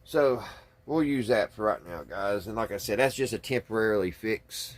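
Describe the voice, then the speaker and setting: A man with a slightly husky voice, talking casually and folksily through hands-on repair work, thinking aloud without hurry. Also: fairly low pitch, animated, noisy background. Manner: folksy, unhurried, thinking aloud while working. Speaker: hobbyist radio restorer and video host. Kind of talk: casual hands-on workbench narration.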